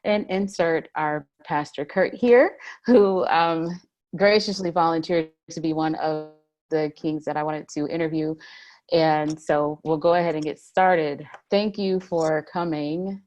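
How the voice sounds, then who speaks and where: A woman's voice: low voice, fairly even intonation, measured pace, a little mellow. A woman life coach hosting a casual video interview, chatty and upbeat.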